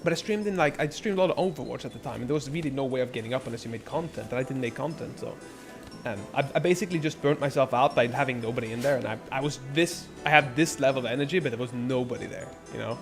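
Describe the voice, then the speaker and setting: A man's voice: mid-range voice, fast talker, music in the background. A young man born in Norway, chatting casually and rambling on his live stream, swearing freely. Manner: rambling, laid-back, lots of filler and swearing.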